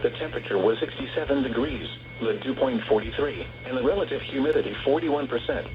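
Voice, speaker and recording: A synthetic male voice reads a scripted weather bulletin as a radio announcer, in a measured, formal and impersonal way. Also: mid-range voice, music in the background. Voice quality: synthetic text-to-speech voice. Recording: muffled noisy weather radio broadcast.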